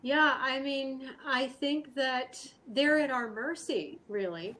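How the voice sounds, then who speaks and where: A woman speaking as an interview guest, answering casually in an earnest, halting, thoughtful way. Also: sits high, pushed and bright, a little hiss or hum. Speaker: guest artist and animal rescue volunteer.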